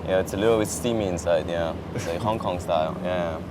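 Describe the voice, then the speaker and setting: Young man, native French speaker, low-ish voice, rambling chat with fillers, outdoors, distant camera, steady traffic noise. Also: quick pace. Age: young adult. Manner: chatty, many fillers and restarts. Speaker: exchange student. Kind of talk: casual chat. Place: outdoors on a campus lawn.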